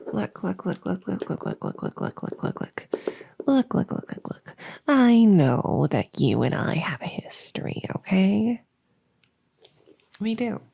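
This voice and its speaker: A woman playing a needy, exasperated but harmless female monster under the bed, rambling and stammering softly, often in whispers, in an ASMR audio roleplay. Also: medium pitch, gravelly, slightly rough, heavily acted. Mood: coaxing. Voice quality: soft, often breaking into whispers. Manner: rambling, stammering, pleading, silly.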